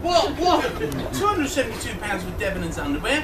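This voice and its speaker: Far from the mic amid loud crowd noise, a man acts a henpecked, broke baron in amateur pantomime. He blusters at the audience in a very high, exasperated voice that swings dramatically up and down. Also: very animated, fairly quick. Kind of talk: amateur pantomime stage acting. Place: live amateur show at a tavern venue. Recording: echoey hall from far off, noisy crowd.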